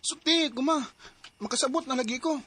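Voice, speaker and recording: A man's voice: very high-pitched, fairly quick, bright, forceful tone, a little hiss or hum. A man playing a young boy who misses his mother, asking plaintive questions in an audio drama. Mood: pleading. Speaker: son. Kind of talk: Cebuano audio drama acting.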